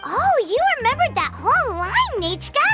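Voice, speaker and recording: An extremely high, squeaky little fairy sidekick from a video game. She chatters excitedly and exclaims, swooping wildly up and down, with clear music playing behind her. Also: normal speed, heavily acted. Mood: chatty, excited. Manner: speaks of herself in the third person, exclaiming. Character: tiny chatty childlike female fairy companion, excitable.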